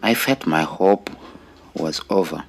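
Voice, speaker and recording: Man, forties, Rwandan accent, deep and unhurried, earnest testimony delivery. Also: vocal fry. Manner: earnest, deliberate, with pauses between short phrases. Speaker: storyteller sharing own life story. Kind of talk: personal testimony.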